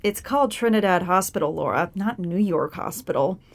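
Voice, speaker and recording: A woman's voice: fairly low pitch, lively intonation, normal speed. Woman as an eager, lilting cartoonish house cat. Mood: excited, awestruck. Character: naive, eager female house cat dreaming of doctoring. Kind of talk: audio drama acting.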